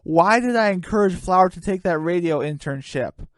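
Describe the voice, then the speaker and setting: A woman's voice: on the low side, lively intonation, normal speed, dry recording, voice pushed down, close to an everyday voice. A woman voicing an exasperated, sensible female chicken, weary and scolding. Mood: regretful.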